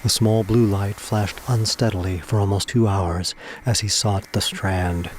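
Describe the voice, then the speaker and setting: Low-voiced man narrating a dramatic story. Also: fairly even intonation, a little slow, a little hiss or hum, rough recording.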